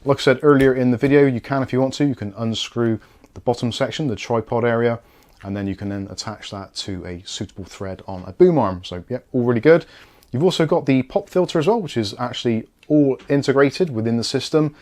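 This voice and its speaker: Fast-talking British man presenting a review. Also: mid-range voice, a little mellow. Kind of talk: casual unboxing and review presentation.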